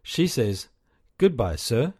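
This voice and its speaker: A man narrating a language course, calmly prompting the learner what to say next. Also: medium pitch, husky. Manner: calm, measured prompting. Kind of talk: scripted instructional narration.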